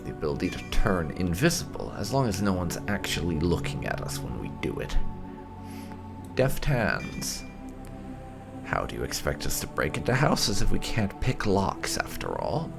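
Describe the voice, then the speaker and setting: A deep-voiced man hosts a game playthrough in a chatty, explanatory way. His pitch swings widely and a gravelly creak runs through his voice. Music plays clearly behind him. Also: wildly swooping pitch, moderate pace. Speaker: gaming video host. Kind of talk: casual game playthrough commentary.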